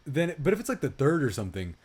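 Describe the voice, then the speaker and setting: A man's voice: fairly high pitch, big pitch swings, fast talker, darkish tone, husky. A man co-hosting a film review podcast, chatting casually and animatedly with some swearing.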